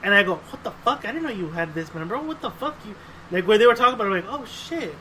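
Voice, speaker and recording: A man's voice: high-pitched, sing-song, quick pace, pushed and bright, poor audio. A man chatting casually as a podcast host, emphatic and profane, often repeating his phrases. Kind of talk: casual chat. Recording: faint background noise.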